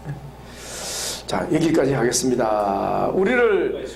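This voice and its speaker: A man preaching a lively sermon, laughing often and drawing call-and-response answers from the congregation with frequent questions. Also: mid-range voice, slow, creaky, slight background noise. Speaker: pastor. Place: church hall with congregation.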